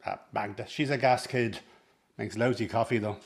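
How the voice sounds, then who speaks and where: A man's voice: on the low side, fairly even intonation, moderate pace, slightly rough. A man acting in a radio drama as a scheming circus man, talking in an ingratiating, persuasive, coaxing way. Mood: teasing, sly. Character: smooth-talking, scheming circus man, mother's old flame. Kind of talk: dramatic radio play dialogue.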